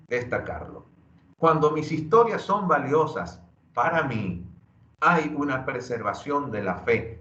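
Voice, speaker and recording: Slow, earnest man in an echoey room. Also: medium pitch, a bit bright. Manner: earnest, preacher-like, slows to stress key phrases. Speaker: workshop speaker. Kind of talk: motivational workshop talk. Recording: dull lo-fi audio in an echoey room.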